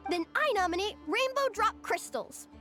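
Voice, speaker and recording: Spirited, very high-voiced little girl. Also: expressive, normal speed, pushed and bright, exaggerated voice. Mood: assertive. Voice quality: childlike. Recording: music playing behind the voice.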